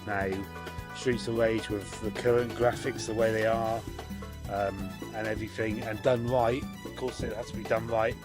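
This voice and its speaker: A man's voice: fairly low pitch, steady tone, normal speed, over music. Middle-aged man chatting loosely outdoors at dusk. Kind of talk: casual chat. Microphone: phone or camera held at arm's length.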